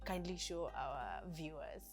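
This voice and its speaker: A young woman with a Kenyan accent hosting a talk show, lively and casual, slipping into Swahili slang. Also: low voice, measured pace, faint music behind. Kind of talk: casual interview chat.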